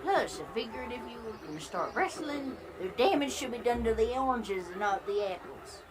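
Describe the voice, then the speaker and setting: A woman playing a wise old granny pony with an American Southern accent, telling things in a homespun, gently scolding way for a cartoon comic dub. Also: a little high, expressive, moderate pace, crowd noise, natural voice. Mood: firm, advising. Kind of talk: fan comic dub character acting. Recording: music playing behind the voice.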